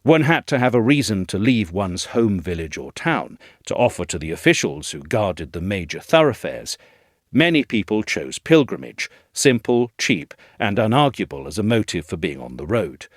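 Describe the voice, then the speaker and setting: A man reading a scripted audio essay in a measured, articulate storytelling manner. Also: lowish voice, no echo, clean studio sound. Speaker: essay narrator, reader.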